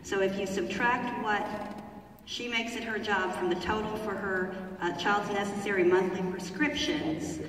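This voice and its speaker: A woman's voice: medium pitch, fairly even intonation, unhurried, a bit bright. Woman, reading a testimonial, careful with fillers, strong hall echo, distant mic. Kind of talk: reading aloud a written testimonial. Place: large theater hall. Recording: echoey hall from far off.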